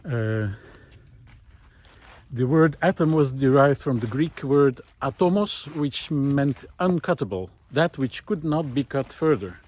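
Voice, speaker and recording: A man giving a casual, unscripted monologue outdoors on a woodland trail, musing and halting as he thinks aloud. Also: mid-range voice, animated, a little mellow. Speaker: host of a recorded monologue series.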